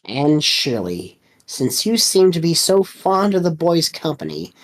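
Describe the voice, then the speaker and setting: A woman reading a storybook, voicing a stern, sarcastic male schoolmaster who speaks in a slow, cutting way. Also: sits low, very animated, voice pushed down, exaggerated voice.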